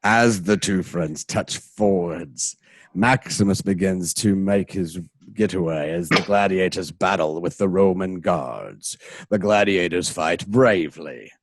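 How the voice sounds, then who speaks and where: A man's voice: sits low, unhurried, dry recording. A man narrating a radio play from a script in an informal, dramatic way, with casual asides.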